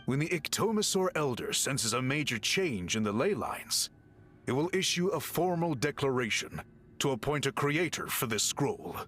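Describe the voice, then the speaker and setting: Calm serious tribe chief in a game, formal and patient, voice moves up and down a lot. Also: male voice, mid-range voice, slight background noise. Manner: formal, measured, explaining patiently. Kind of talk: game voice acting.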